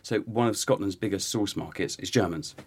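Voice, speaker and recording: Deep-voiced academic man. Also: fairly quick, crowd noise. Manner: relaxed, restarts often. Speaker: tourism lecturer, guest expert. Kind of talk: casual expert chat.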